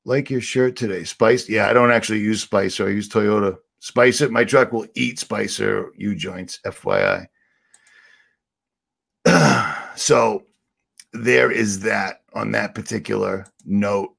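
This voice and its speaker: A man with a raspy voice, ranting sarcastically and rambling on a livestream as the channel host. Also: lowish voice, animated, slow pace, fairly bright tone. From Boston area. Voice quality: raspy, gravelly.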